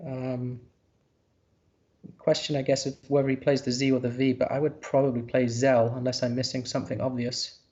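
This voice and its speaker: A man's voice: medium pitch, little pitch movement, moderate pace, a little mellow, muffled recording. A man commentating a live board game, thinking aloud in a hesitant, musing way.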